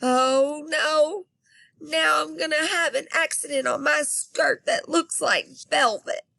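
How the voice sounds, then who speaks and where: A woman voicing a feisty, impatient little girl of about six in a storybook, talking loud, urgent and whiny. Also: high-pitched, slow pace, gravelly, dry recording, voice pushed up, exaggerated voice. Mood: frantic.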